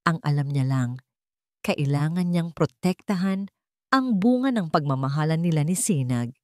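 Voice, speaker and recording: Low-pitched woman narrating a dramatic story. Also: expressive, normal speed, dark tone, no echo, studio quality.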